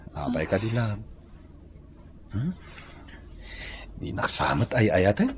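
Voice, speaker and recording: A man acting an earnest, lovestruck suitor in a Filipino radio drama, with an Ilocano accent and an expressive, wooing manner. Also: fairly low pitch, slow pace, slight background noise. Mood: coaxing, affectionate. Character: lovestruck, earnest adult suitor named Ricky.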